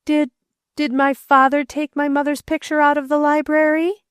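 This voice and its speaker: Lady narrator doing a young boy, high and earnest, slow and pleading, dry close sound. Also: expressive, no room sound. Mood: anguished. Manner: eager, pleading, rising to outbursts. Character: earnest boy, grieving and indignant.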